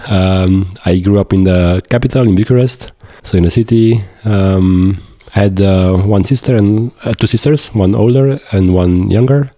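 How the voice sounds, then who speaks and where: A young man from Romania speaks English with a Romanian accent in a very deep, mellow voice. He answers interview questions slowly and in a laid-back way, and the sound is dry and close. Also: no room sound. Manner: halting, laid-back, with short laughs. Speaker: guest.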